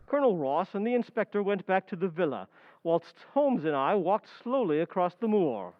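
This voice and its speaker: An actor playing a detective's loyal gentleman companion, telling the tale in measured old-time radio drama style. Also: sits high, sing-song, dry recording. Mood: measured storytelling. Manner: measured, storytelling. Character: Holmes's loyal gentleman companion, adult male narrator. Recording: dull, band-limited sound. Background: music.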